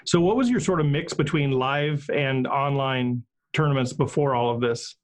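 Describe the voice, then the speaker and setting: A man's voice: medium pitch, normal speed. A middle-aged man hosting a podcast interview, joking and chuckling as he asks questions. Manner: jokey and chuckling. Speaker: podcast host.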